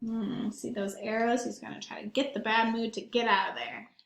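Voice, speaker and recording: A high-pitched woman reads a children's picture book in an echoing room. She is animated and emphatic, and she turns to her young listeners with simple questions as she goes. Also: normal speed, creaky. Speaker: read-aloud storyteller for young children. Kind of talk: expressive picture-book read-aloud with asides to children.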